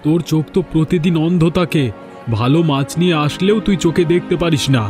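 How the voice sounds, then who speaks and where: A man's voice: fairly high pitch, fast talker, over music, lightly acted. A man voicing an aggrieved, put-upon village fisherman in a cartoon. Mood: insistent. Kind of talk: Bengali cartoon voice acting.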